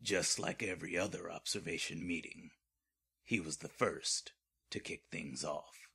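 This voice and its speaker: Horror audio drama narrator, played by a man: extremely low, husky, scripted storytelling. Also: unhurried, bright, forceful tone, exaggerated voice. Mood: grave. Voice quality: very deep, husky.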